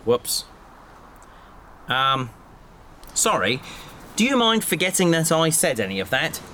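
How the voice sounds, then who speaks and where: An actor playing a well-meaning, awkward and pedantic crew member in a sci-fi audio drama, earnest and apologetic. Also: male voice, a little high, sing-song, takes it slowly, pushed and bright, a little hiss or hum, exaggerated voice. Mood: sheepish.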